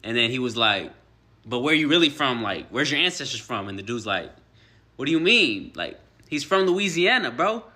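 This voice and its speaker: A man's voice: medium pitch, normal speed. An American man from a played clip ranting casually and repeating himself in exasperation. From United States. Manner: animated, repetitive, exasperated ranting.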